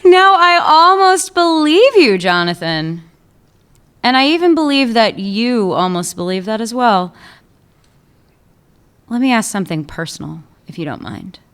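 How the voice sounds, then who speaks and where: A woman's voice: on the high side, big pitch swings, measured pace, a bit bright, clear, resonant tone. A woman playing a sly woman police chief who teases an old lover, in a radio play. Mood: needling. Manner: teasing, needling, wry. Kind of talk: amateur radio drama acting.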